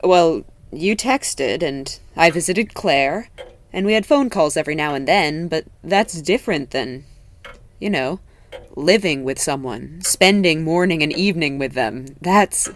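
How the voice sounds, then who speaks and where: A woman playing a level-headed, honest young woman in an audio drama, speaking in a measured, articulate, earnest way. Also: low-pitched, expressive. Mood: reassuring. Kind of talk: naturalistic audio drama dialogue.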